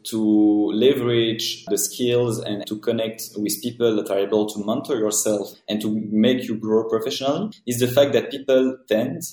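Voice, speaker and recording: A man's voice: fairly low pitch, fairly even intonation, normal speed, hardly any pauses, darkish tone. Man, French accent, podcast guest, earnest, long winding sentences, echoey room. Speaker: startup founder guest. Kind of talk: podcast interview answers. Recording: roomy echo.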